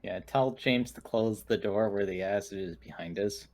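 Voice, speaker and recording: A man's voice: fairly low pitch, normal speed, a little mellow. A man playing a tabletop game, chatting casually and explaining rules and dice results.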